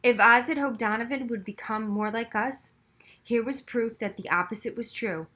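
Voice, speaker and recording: A woman narrates a children's novel aloud. Her voice is bright and forceful, sitting a little high, and she tells the story expressively. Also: moderate pace. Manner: narrates expressively, acting out dialogue. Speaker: audiobook reader.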